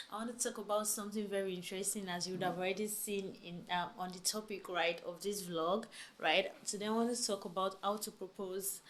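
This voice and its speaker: Brief: young woman, relationship vlogger, mid-pitched, talky and emphatic, leans on "right?". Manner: chatty, emphatic, many rhetorical questions and "right?" tags. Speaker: vlogger giving relationship advice. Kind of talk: casual talk to camera.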